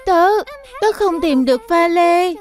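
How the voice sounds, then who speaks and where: High-pitched little girl fox. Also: measured pace. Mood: discouraged. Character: small girl fox, eager crystal hunter, easily discouraged. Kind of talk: children's cartoon dub. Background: background music.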